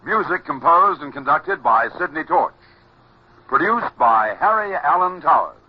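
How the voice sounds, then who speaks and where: A male announcer reading show credits in a formal, deliberate manner. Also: fairly high pitch, animated, a little hiss or hum. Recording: muffled old radio broadcast.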